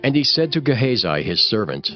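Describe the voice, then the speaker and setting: A man's voice: medium pitch, sing-song, brisk, dull audio, faint music behind. A man narrating a scripture audiobook in a formal, solemn storytelling manner.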